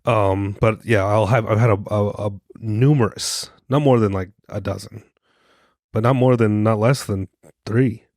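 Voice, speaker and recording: Man with a cold, kinda low voice, jokey and rambly, dry sound no room. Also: steady tone, a little slow, darkish tone, dry recording. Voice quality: soothing voice. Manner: joking, self-mocking, meandering with restarts. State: congested from a cold. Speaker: podcast co-host. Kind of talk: casual rambling monologue.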